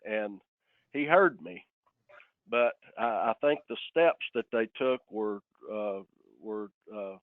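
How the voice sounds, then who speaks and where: Man, mid-pitched, folksy and plain-spoken, official on a call, narrow dry phone sound. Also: slow pace, dry recording. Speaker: county sheriff. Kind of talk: informal remarks in a public meeting. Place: telephone conference call. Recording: tinny, dry phone line.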